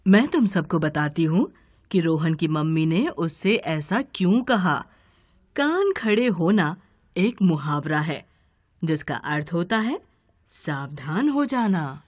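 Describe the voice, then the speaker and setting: A woman reads a scripted children's lesson like a teacher. Her voice is fairly low and very expressive, with slow pacing. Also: dry recording, clean studio sound. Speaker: teacher narrator. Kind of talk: scripted educational narration for children.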